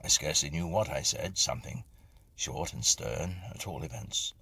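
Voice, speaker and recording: A low-voiced man narrates a Victorian ghost story. His delivery is deliberate and atmospheric, with wide swings in pitch. The voice is gravelly and creaky at the edges. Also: animated, bright, forceful tone, no room sound.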